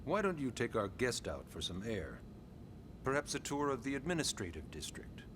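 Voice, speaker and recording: A man playing a senior government official in a video game, speaking in a formal, courteous and deliberate way. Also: medium pitch, very animated, noisy background, close to an everyday voice. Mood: polite, formal. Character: formal, polite senior male government official, calmly authoritative. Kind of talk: English video game cutscene acting.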